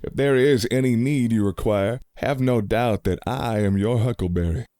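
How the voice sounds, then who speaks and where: Male actor, loyal courtly cowboy, flowery speech, warm relaxed tone, dry recording, radio play. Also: medium pitch, normal speed, no echo, natural voice. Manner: courtly, florid, folksy. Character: gallant, florid cowboy gentleman, loyal and bold.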